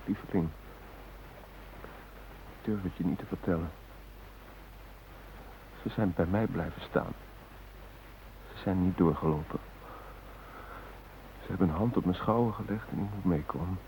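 A man's voice: low voice, a little slow, pauses often, a little mellow. An actor in a radio play playing an anguished male hostage who speaks a last, intimate letter to his beloved. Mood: resigned, grieving. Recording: hissy, narrow-band old radio recording.

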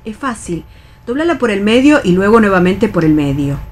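A middle-aged Argentine actress playing a bossy, resigned, wary factory worker of nearly sixty in a radio theatre reading, instructing and admonishing colloquially. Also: female voice, medium pitch, sing-song, normal speed, a little hiss or hum, natural voice. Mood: brisk instructing.

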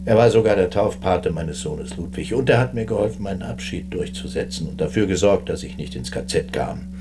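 An actor playing a weary, proud retired German army chief with dry, dismissive, clipped remarks in a radio drama. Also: male voice, sits low, fairly quick, over music, close to an everyday voice. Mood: dry, matter-of-fact.

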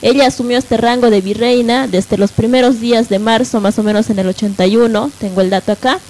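A woman presenting on a live radio talk show, halting and often adding tag questions to seek agreement. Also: on the high side. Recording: noisy live radio with steady hiss.

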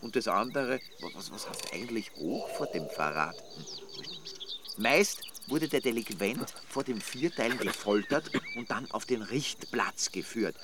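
An actor playing a sardonic, nosy amateur detective in a radio play, speaking dryly and colloquially. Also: male voice, on the low side, animated, measured pace, background noise. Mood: mocking. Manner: dry, sarcastic, colloquial. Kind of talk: naturalistic radio play dialogue. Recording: outdoor ambience with birds and insects.

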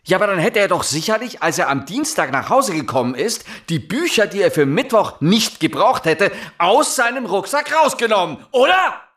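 An actor playing an insistent, probing police inspector, questioning deliberately in a naturalistic radio drama. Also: male voice, high-pitched, sing-song, fairly bright tone, full character voice. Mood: pressing, agitated.

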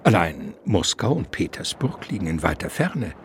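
A man narrating a radio play in an expressive, theatrical style, with suspense and irony. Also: low voice, moderate pace, a little hiss or hum.

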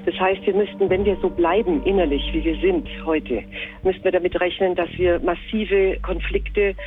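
Man as high-voiced expert on tinny radio. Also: steady tone, normal speed, lightly acted. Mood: earnest warning. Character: earnest, analytical expert warning of civilisation's collapse. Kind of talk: expert radio interview statement. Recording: thin radio-like band with music.

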